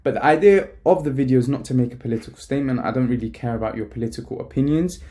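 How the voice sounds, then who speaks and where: A young man from Palestine talks straight to the camera, emphatic and full of slang. His voice is mellow and relaxed, sitting at an ordinary middle pitch. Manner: casual, emphatic, fast-talking with slang. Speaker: online business coach. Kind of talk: talking-head vlog to camera.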